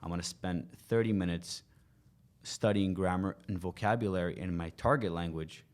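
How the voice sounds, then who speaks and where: A man's voice: low-pitched, normal speed. A man in his twenties, a language coach, explaining his advice in a casual podcast interview, with many "you know" fillers. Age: 20s.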